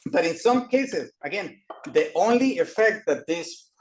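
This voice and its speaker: A man from Costa Rica giving a classroom lecture as a professor, halting with many fillers and restarts. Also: high-pitched, lively intonation, a little hiss or hum. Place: lecture room. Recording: echoey room from far off.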